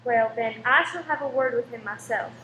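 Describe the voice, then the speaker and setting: Actress as spirited, loyal cinema wife in radio drama; high pitch, echoey room. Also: female voice, normal speed, fairly bright tone, lightly acted. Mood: warm. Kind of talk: amateur radio play acting. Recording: roomy echo with faint noise.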